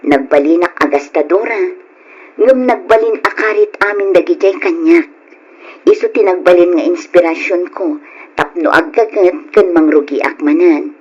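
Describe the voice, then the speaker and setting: Husky, heartfelt Ilocano wife. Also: female voice, fairly low pitch, normal speed, darkish tone, lo-fi sound. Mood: reflective, resolute. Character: hardworking married woman selling live online, sentimental, determined. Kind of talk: Ilocano radio drama acting.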